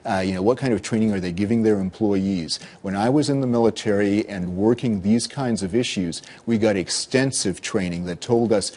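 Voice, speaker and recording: An American man gives expert commentary in a news interview. His voice is on the low side with a soft, mellow tone, and he lays out his explanations in a measured manner. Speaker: expert guest, cybersecurity consultant and former military intelligence officer.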